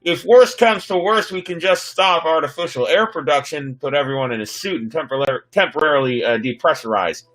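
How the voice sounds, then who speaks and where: A man's voice: a little high, a little fast, hardly any pauses, fairly bright tone, close to an everyday voice, rough recording. A man voicing an eager, nervous spaceship crewman who stammers and defers in a sci-fi story reading. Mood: worried.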